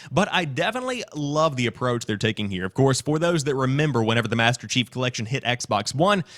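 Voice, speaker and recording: A man's voice: medium pitch, fairly bright tone. A man hosting a gaming news show, mixing read-out news with his own off-the-cuff commentary.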